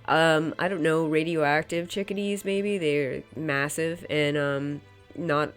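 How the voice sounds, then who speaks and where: A woman's voice: low-pitched, slow pace, clean tone, faint music behind. A woman with a head cold, sounding stuffy and nasal, chatting casually through a painting tutorial voice-over and hedging with "kind of" and "um". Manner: relaxed and chatty, hedges with frequent "kind of" and "um". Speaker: painter demonstrating her work. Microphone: voice-over recorded separately from the footage.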